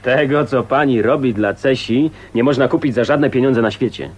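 An actor playing a genial, gallant, good-humoured neighbour in a radio drama, courteous and cheerful. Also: male voice, mid-range voice, moderate pace, darkish tone, natural voice. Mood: earnest. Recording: faintly noisy old radio recording.

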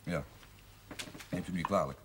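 An actor playing a stubborn, resentful young grocer in a 1970s television drama, blunt and terse. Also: male voice, low-pitched, normal speed, lightly acted. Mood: deferential. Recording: noisy 1970s television soundtrack.

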